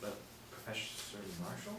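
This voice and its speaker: A man's voice: normal speed, pushed and bright, lightly acted. A man playing an apologetic, hesitant young university student in a script table read. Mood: alarmed. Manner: hesitant, stammering. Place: small indoor room, group table reading. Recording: echoey room, distant mic, faint noise.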